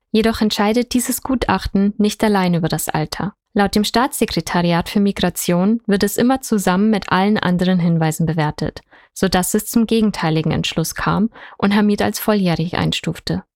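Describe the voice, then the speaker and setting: A woman reporter narrates a scripted story in a clear, measured way and speaks straight to the viewers. Her voice sits in a middle range and is recorded close and dry. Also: medium pitch, darkish tone, dry recording.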